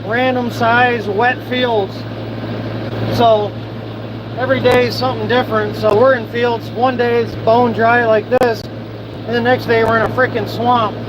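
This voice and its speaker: A man's voice: very high-pitched, level tone, unhurried. A young man from Michigan, a farmer chatting casually and bluntly with viewers on a livestream from his field, swearing freely as he talks. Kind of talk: casual live chat with viewers. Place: outdoors on a farm, near running machinery. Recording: loud machinery noise behind voice.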